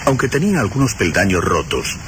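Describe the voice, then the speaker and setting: Male narrator for radio suspense: very low, highly expressive, deliberate dramatic phrasing, loud background noise.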